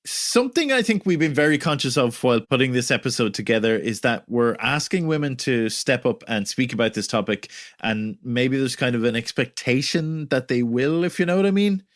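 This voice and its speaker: A man with an average-pitched voice hosts a podcast. He speaks in a conversational way, posing questions and linking segments. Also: lively intonation, fairly quick. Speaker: podcast host.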